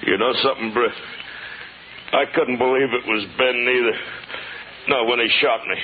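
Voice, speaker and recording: A man's voice: mid-range voice, steady tone, moderate pace, close to an everyday voice. Radio western role: man as anguished, wounded American frontiersman, strained delivery, tinny recording with slight noise. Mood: pained, sorrowful. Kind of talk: 1950s radio western acting.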